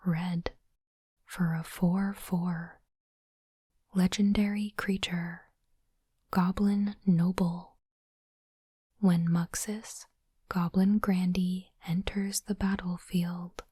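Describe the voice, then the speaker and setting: A woman whispering in a breathy, unvoiced voice, slow and deliberate, in the style of an ASMR host. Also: lowish voice, dry recording, clean studio sound. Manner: slow, deliberate, softly reading aloud. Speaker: host of an ASMR unboxing channel.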